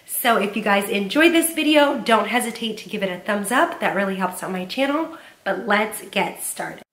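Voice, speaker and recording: A woman's voice: medium pitch, animated, moderate pace, fairly bright tone. A woman in her thirties from Southern California, chatting upbeat in a home vlog from her living room, her voice still a little off from a cold. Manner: upbeat and chatty, with frequent "um" fillers. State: voice slightly off while getting over a cold. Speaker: home vlogger, mom. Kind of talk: casual cleaning and decorating vlog.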